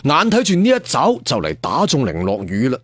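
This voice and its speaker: A man's voice: mid-range voice, huge pitch swings, brisk, a bit bright, dry recording, clean studio sound. A man telling a story theatrically, like a traditional serial storyteller with asides to listeners. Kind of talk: dramatic Cantonese audiobook storytelling.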